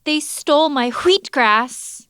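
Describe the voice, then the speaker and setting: A woman acts out a distressed lady shopkeeper in a comic radio melodrama. Her voice is very high and plaintive. She draws her words out very slowly, full of woe. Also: animated. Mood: distraught. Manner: plaintive, drawn-out delivery.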